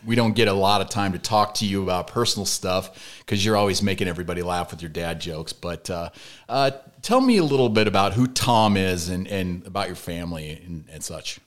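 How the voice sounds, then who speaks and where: Low-voiced man hosting a casual podcast. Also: animated, a little fast. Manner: jokey, teasing. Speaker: podcast host. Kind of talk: casual banter and interview. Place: podcast studio.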